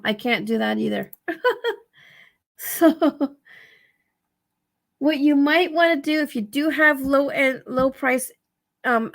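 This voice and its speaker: Casual female tutorial vlogger, higher register, very creaky and raspy, tired, thinks out loud. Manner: rambling, thinking aloud, self-correcting. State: tired, dry-throated. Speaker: vlogger giving reselling tips. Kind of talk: casual screen walkthrough tutorial.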